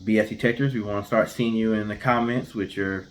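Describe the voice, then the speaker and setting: A man with a slightly low voice speaks as a co-host. He presents casually and tells stories in an informal, conversational way. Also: steady tone, a little fast.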